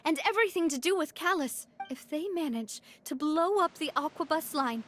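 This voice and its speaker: A woman playing a warm, resolute young guild leader in a video game. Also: high voice, animated, moderate pace, a little hiss or hum. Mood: urgent, commanding.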